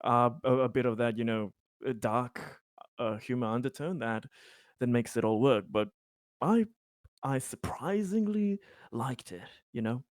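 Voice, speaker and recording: A man playing an affable, courteous corporate director of a colony in a tabletop game, chatting in a halting, polite way. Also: medium pitch, dry recording, clean studio sound, natural voice. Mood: courteous, chatty.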